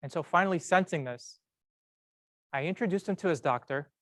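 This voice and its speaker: A young man tells a prepared story in a measured, earnest way, slowing down and pausing to stress key words. His voice sits a little high for a man. The sound is close and dry, with almost no room echo. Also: a bit bright. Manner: measured, earnest delivery with slow emphatic pauses. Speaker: medical student speaker.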